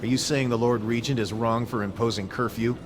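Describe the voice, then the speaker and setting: A man's voice: mid-range voice, fairly even intonation, fairly quick, natural voice. A man playing an insolent city watch officer in a video game, talking in a needling, mock-polite way. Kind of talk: scripted video game dialogue. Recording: very noisy outdoor game background.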